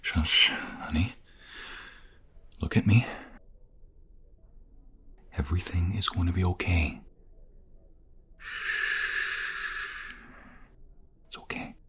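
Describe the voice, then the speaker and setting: A man playing a protective ex-mobster in an audio roleplay drama, husky and breathy, defiant with others and tender with his fiancée. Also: low-pitched, very slow, long pauses, bright, forceful tone, whispered, exaggerated voice. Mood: tender, soothing. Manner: intense, protective.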